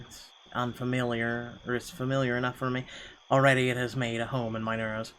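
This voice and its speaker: A man's voice: mid-range voice, pushed and bright, slight background noise, poor audio. A man reading first-person narration from a game aloud in a dramatic storytelling style. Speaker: gaming channel host reading a visual novel aloud.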